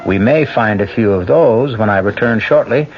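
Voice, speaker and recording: A man hosting and narrating a radio mystery show, in a measured, theatrical way. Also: on the low side. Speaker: radio drama host and narrator. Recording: dull old radio broadcast with music.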